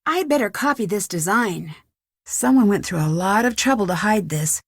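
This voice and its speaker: A woman's voice: medium pitch, expressive, normal speed, slightly rough, dry recording, clean studio sound. A young woman playing a curious, plucky amateur detective in an adventure video game, thinking aloud to herself. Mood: musing, curious. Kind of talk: scripted adventure game voice lines.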